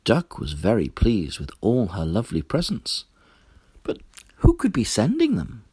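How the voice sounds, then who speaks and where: A man narrating a children's story in an expressive, deliberate storytelling manner. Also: fairly low pitch, slightly rough, dry recording. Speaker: storybook reader.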